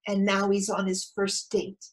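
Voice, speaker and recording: A woman's voice: mid-range voice, measured pace, close to an everyday voice. Anxious, overeager mother telling her story acted by a woman. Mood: hopeful, nervous. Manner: animated storytelling, breaking into quoted dialogue. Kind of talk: theatrical monologue, staged reading.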